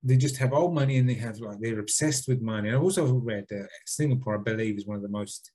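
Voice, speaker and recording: A man's voice: lowish voice, fast talker, warm tone, breathy edge. A man co-hosting a podcast, reading out a listener email and then rambling, hedging and swearing freely in casual chat. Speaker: podcast co-host.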